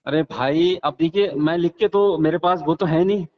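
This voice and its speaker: Man, fairly high pitch, muffled phone call, debating, repetitive, emphatic, interjecting. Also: normal speed. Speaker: debater defending a religious organisation. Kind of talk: argumentative phone conversation.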